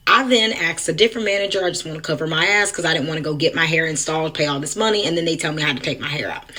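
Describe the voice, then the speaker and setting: Female storyteller with a deep voice for a woman, casual, sweary, aggrieved and direct. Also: fairly bright tone, breathy edge. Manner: indignant, blunt, sweary.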